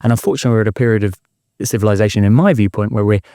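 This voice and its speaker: Thoughtful British man with a lowish voice. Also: racing, warm tone, dry recording, studio quality. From United Kingdom. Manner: thoughtful, peppers points with "right?". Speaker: interview guest, inclusion consultant. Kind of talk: reflective conversational interview.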